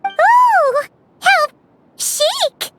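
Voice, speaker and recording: A woman voicing a tiny, cute, excitable fairy companion in a video game, with a squeaky childlike voice and eager delivery. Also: no room sound, full character voice. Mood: delighted. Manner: gushing, eager, drawn-out. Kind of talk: scripted video game dialogue.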